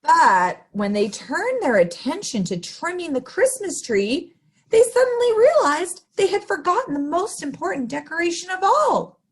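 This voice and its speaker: A woman's voice: extremely high pitch, big pitch swings, moderate pace. A woman hosting story time, reading to young children in an exaggerated, sing-song, interactive way. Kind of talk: animated picture-book read-aloud for young children.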